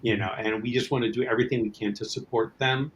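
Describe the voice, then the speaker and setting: A man with a medium-pitched voice talks as an interview guest. His delivery is casual and a little rambling. There is some noise behind him on the recording. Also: fairly even intonation, fast, highs cut off. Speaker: guest, writer-producer of an audio drama. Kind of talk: casual promotional interview chat.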